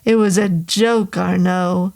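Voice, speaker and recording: A woman's voice: lowish voice, steady tone, slow, vocal fry, darkish tone. A woman doing a joking old caretaker. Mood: easygoing. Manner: easygoing, joking. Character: congenial sixty-year-old male caretaker, joking.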